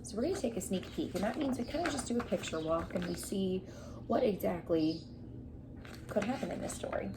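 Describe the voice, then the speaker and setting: A woman's voice: medium pitch, expressive, brisk. A young adult woman teacher explaining and asking children questions in a read-aloud lesson, recorded at home. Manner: explanatory, prompting. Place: living room at home, on a couch. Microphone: camera a short distance away. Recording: clearly noisy home room sound.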